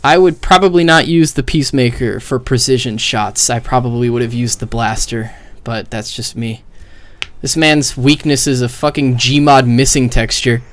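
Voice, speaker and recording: Guy streaming game commentary, regular pitched voice, off the cuff, sweary and blunt with opinions. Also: fairly even intonation, normal speed. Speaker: streamer reacting to video game cutscenes. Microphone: close mic with gain set very high.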